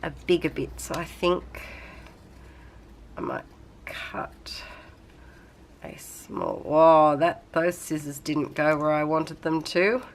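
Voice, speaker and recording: A woman's voice: low-pitched, fairly even intonation, slow, long pauses, a little hiss or hum. A young woman with a slight cold, chatting casually and rambling through a craft tutorial at her desk, with lots of fillers. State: coming down with a cold. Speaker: craft channel host.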